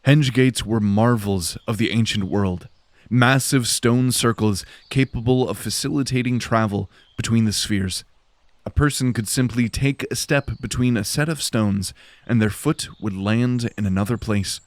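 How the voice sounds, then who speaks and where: A man's voice: mid-range voice, unhurried, no room sound. A man reading scripted, dramatic narration for a fantasy audio drama.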